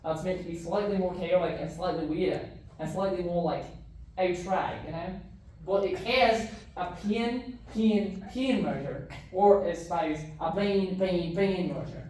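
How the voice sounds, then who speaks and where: A man's voice: sits high, measured pace, a little mellow, recorded from a distance. A man voicing an eccentric, pompous debater with an invented, loosely New Zealand non-rhotic accent and merged vowels, in improvised comedy. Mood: explanatory. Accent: invented, loosely based on New Zealand. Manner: pompous, pedantic word choice. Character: eccentric pedantic man, chaotic, weird, grandly verbose debater. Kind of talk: improvised comic debate in character. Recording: very echoey room, off-mic, faint noise.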